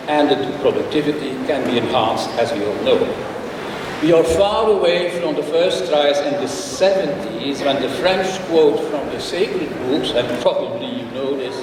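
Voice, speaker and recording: An older man delivers a formal address in a large conference hall. He speaks in a measured, slightly halting way, with learned asides. The sound is far from the mic and washed in long reverb, with steady noise behind it. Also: a little high. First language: Dutch. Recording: echoey hall, picked up from afar, with noise.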